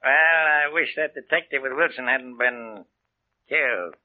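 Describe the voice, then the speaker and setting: A man's voice: mid-range voice, moderate pace, lightly acted. An actor playing a smug, scheming male diamond buyer in a 1940s radio drama. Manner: smug, gloating. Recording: tinny old radio broadcast.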